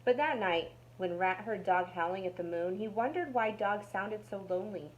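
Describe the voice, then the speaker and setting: Woman, storytime reader, mid-range pitch, children's storybook narration. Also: normal speed, muffled recording, slight background noise.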